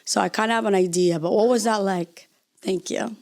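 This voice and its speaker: Woman podcast host. Friendly chat, teasing jokes, wandering questions. Mid pitch. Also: fairly even intonation, fairly quick, gravelly, darkish tone. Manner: chatty, joking, rambling questions. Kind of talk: casual interview conversation.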